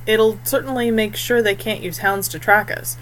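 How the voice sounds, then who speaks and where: A calm, measured young man on the run, read by a woman reasons things out in an audiobook. The voice has a medium pitch, with noise on the recording. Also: natural voice. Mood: calm reasoning. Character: calm, reflective young man fleeing pursuers.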